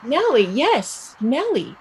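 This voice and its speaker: A woman playing a restless young Irish village woman set on emigrating, speaking emphatically and deliberately in a stage play reading. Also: high voice, very animated, slightly rough, full character voice, low-quality recording. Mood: determined.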